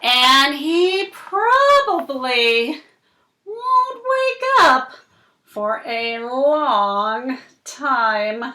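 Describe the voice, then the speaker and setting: A little fish thief from a picture book, voiced by a woman reading aloud, boastful and confiding. The voice is bright and very animated, delivered deliberately in a roomy, echoing space. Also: high-pitched, pitched above the performer's own voice, heavily acted. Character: small sneaky fish, boastful, self-assured hat thief. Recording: roomy, echoing indoor sound.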